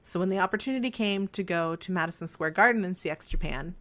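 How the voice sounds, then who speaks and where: A woman's voice: lowish voice, fast talker, a bit bright. A woman with a scratchy voice, chatting casually while playing a life sim game and rambling in a self-deprecating way. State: scratchy voice from talking. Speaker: let's play host. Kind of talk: casual chat over gameplay.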